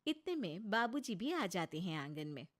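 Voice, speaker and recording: A woman's voice: medium pitch, big pitch swings, moderate pace. A woman narrating a scripted story as a storyteller.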